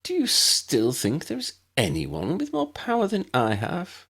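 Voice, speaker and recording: A man's voice: sits high, huge pitch swings, measured pace, vocal fry, a bit bright, husky, voice pushed up, full character voice. A man voicing an imperious, scornful young witch villain in a fantasy audiobook, snappish and sneering. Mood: smug, scornful. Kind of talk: fan-fiction audiobook character voice.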